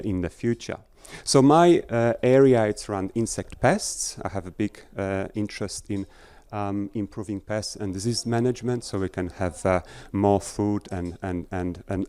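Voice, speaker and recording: Halting man giving a talk. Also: fairly low pitch, darkish tone, clean tone, dry recording. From Poland. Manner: halting, many fillers and restarts. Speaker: agricultural researcher. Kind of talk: talk to an audience. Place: festival tent.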